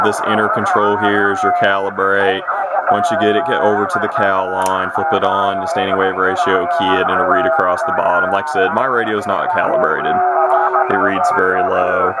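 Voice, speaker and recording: A plain-spoken, folksy man giving a rambling, casual, unscripted demonstration of equipment as a hobbyist. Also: deep voice. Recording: very noisy, radio static. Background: CB radio receiver noise.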